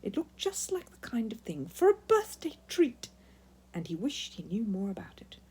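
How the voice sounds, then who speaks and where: A woman narrating a children's story in an expressive, emphatic read-aloud style. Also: on the high side, moderate pace, slightly rough.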